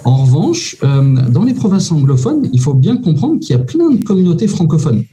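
A man giving casual advice as an immigration consultant, pausing often with fillers. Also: a little high, huge pitch swings, warm tone. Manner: hesitant, many "euh" fillers, informal.

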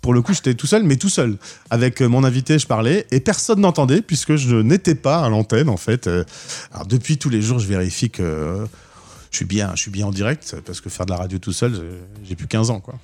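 A man's voice: mid-range voice, animated, a little fast, darkish tone, soft background music. A man hosting a radio show, chatting casually in an interview with enthusiasm and frequent stammered restarts. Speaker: radio host. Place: radio studio.

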